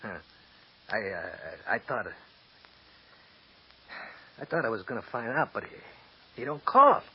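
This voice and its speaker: A man's voice: lowish voice, very animated, a little slow, gravelly, slightly rough, heavily acted. An actor playing a nervous, tipsy small-time male accomplice who stammers and wheedles, in 1940s radio acting. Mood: rambling. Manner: stammering, wheedling then snarling. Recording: dull lo-fi old radio recording.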